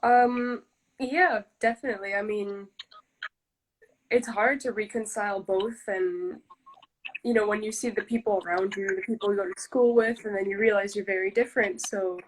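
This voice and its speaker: A young woman guest on a video call, speaking in a relaxed, reflective way with lots of "like" and "you know.". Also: mid-range voice, moderate pace, low-quality recording. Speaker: interview guest. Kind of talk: casual interview chat.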